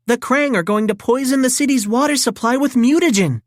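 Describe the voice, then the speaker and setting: A woman's voice: high voice, a little fast, no room sound, studio quality, pitched above the performer's own voice, natural voice. A woman voicing a concerned, outspoken teenage girl in a children's storybook, with urgent, emphatic delivery.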